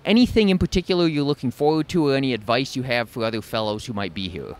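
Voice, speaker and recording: A man's voice: mid-range voice, fast. A middle-aged man working as an on-camera interviewer at an event, speaking into a handheld microphone in a large hall. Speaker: interviewer, blog host. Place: large convention hall with poster boards.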